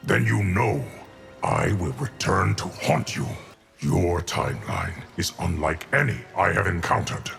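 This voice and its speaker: An actor playing an ominous otherworldly immortal with a gravelly voice in a fighting game. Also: male voice, low voice, lively intonation, measured pace, fairly bright tone, faint music behind, heavily acted. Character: ominous, otherworldly immortal being who haunts timelines. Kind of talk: fighting game character dialogue.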